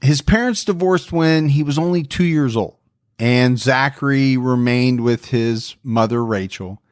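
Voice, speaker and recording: A man's voice: medium pitch, a little slow, no echo. Man hosting true crime podcast, dry sound. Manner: relaxed, friendly storytelling. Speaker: podcast host.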